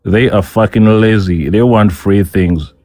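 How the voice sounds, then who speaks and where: A Ugandan man with a lowish voice argues hard in a heated online debate. He is insistent, repeats his points and talks over the other speaker. His voice is recorded close and dry. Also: a little slow, darkish tone, no room sound. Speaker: debate guest.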